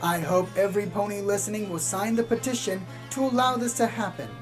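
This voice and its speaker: A woman playing a calm small-town pony radio host in an audio drama, reporting eerie doom in a measured, deadpan news style. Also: mid-range voice, over music, lightly acted. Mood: earnest, musing. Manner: measured, deadpan about sinister things. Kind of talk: scripted spoof community radio news narration.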